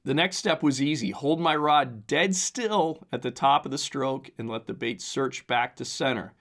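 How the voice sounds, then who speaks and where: A man with a hoarse, raspy, strained voice hosts a casual podcast on his own. He rambles loosely with plenty of fillers and reads passages aloud in an easygoing, mid-pitched tone. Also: normal speed, fairly bright tone. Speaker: podcast host. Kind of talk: casual solo podcast commentary.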